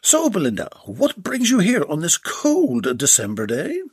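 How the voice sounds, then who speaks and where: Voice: mid-range voice, wildly swooping pitch, unhurried, breathy edge, no room sound, pitched above the performer's own voice, heavily acted. A reader voicing a tallish, apologetic male plumber in a comic storybook, with an earnest, fawning delivery. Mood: eager. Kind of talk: storybook character voice.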